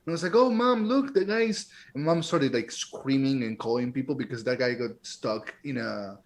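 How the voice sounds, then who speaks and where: A man's voice: medium pitch, moderate pace. A man chatting casually and telling crude, joking anecdotes in a loose group conversation over a video call. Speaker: improv tabletop show cast member. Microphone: remote video call on Zoom.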